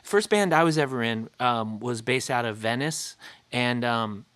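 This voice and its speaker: A man's voice: medium pitch, measured pace, a bit bright, smooth, no echo. A man from Florida hosting a podcast, chatting casually and rambling, stumbling over his words. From Florida, United States. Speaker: podcast host. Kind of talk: casual interview chat.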